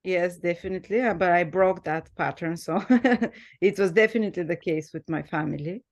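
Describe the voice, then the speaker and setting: A woman's voice: lowish voice, moderate pace. A woman from Bulgaria with a Bulgarian accent, hosting a casual conversation and laughing often, with hesitant restarts. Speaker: host.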